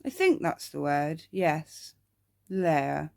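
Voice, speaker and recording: A woman's voice: sits low, very animated, slow pace, gravelly, dry recording, clean studio sound, heavily acted. A woman voicing a precocious, earnest little boy, matter-of-fact and knowing, in an ASMR bedtime story. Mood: solemn. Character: precocious, earnest little boy who loves scary monsters.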